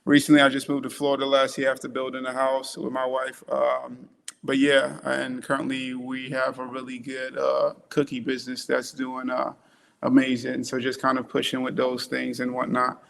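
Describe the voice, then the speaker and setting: A man from Trinidad in his thirties, introducing himself on a panel in a relaxed, halting way with many fillers. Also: medium pitch, vocal fry. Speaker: panelist, influencer marketer.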